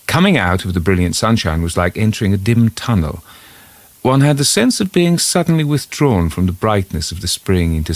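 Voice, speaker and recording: A man reading a scripted first-person literary story as its narrator, in a measured storytelling manner. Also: deep voice, no echo.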